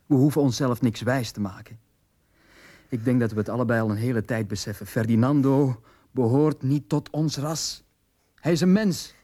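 A man's voice: medium pitch, normal speed, dark tone, close to an everyday voice. A man acting in a radio drama, playing a proud, cultured dwarf nobleman and father in an articulate, theatrical manner. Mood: grave.

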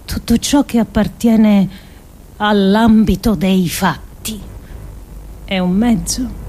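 An actress playing an earnest, grave woman thinker in a theatrical radio monologue, declaiming deliberately with weighty pauses. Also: mid-range voice, fairly even intonation, dark tone, slight background noise, lightly acted. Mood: solemn, insistent.

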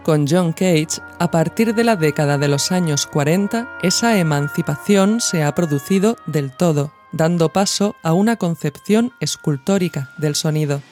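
A narrator reads a scripted audio essay in a measured, reflective way, phrasing each thought deliberately. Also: medium pitch, darkish tone. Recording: music behind the voice. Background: music.

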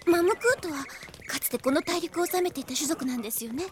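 Very high, gentle young maiden. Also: female voice, expressive, fast, slight background noise. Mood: curious. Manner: gentle, feminine speech. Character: kind, devoted young woman in fantasy army. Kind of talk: Japanese radio drama acting.

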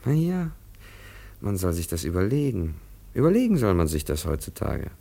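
Deep, gravelly, dismissive official. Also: male voice, wildly swooping pitch, moderate pace, dark tone, full character voice. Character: dismissive, slow bureaucratic official turning away petitioner. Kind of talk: 1950s German radio drama acting.

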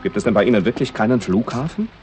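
A man's voice: lowish voice, animated, fast talker, a little mellow, soft background music, lightly acted. A man playing an irritable, sarcastic tourist who feels cheated, in a 1960s radio drama. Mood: indignant. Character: indignant male tourist, cheated and sharp-tongued.

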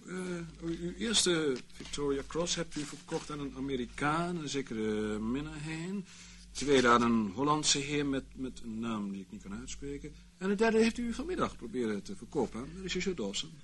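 An actor playing a sarcastic, mock-polite intelligence official in a radio play, speaking dry, leisurely officialese. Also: male voice, on the high side, animated, normal speed, fairly bright tone. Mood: mock sympathy. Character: wry, sarcastic male intelligence official, calmly toying with his captive. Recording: noisy old radio play recording.

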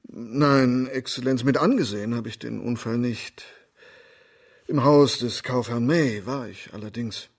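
A man in a radio drama playing an oily, lecherous doctor who talks smoothly and fawns on authority. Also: medium pitch, a little slow. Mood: fawning, formal. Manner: smooth-talking, obsequious, formal.

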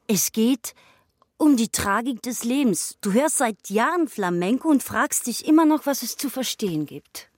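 An actress playing a weary woman drifting away from her lover, speaking softly and intensely in a radio drama. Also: fairly high pitch, expressive, moderate pace, no room sound. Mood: brooding.

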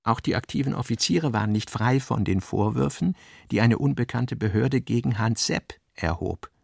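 A man's voice: mid-range voice, moderate pace, gravelly, darkish tone, husky, no room sound, studio quality. A man reading scripted literary narration for a radio play.

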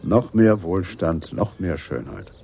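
An actor playing a weighty older police adjutant, dry and measured, with a husky voice in a German radio play. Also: male voice, low voice, animated, mellow, a little hiss or hum, full character voice. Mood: musing. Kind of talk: German radio drama dialogue.

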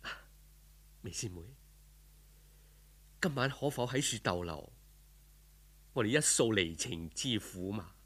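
A man's voice: lowish voice, expressive, takes it slowly, pauses often, a bit bright, breathy edge, voice pushed down, exaggerated voice. A man voicing a lovesick, humble young scholar in a Cantonese folk tale, sighing and courteous with a plaintive manner. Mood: pleading. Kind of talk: audiobook folk tale character.

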